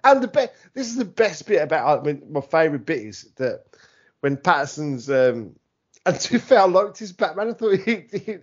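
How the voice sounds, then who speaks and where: A man's voice: a little high, big pitch swings, moderate pace, slightly rough, lo-fi sound. A British man co-hosting a podcast, bantering casually about films in a sweary, rambling way and slipping into mocking impressions. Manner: sweary, rambling, mimics film characters mockingly. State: tipsy. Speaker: podcast co-host.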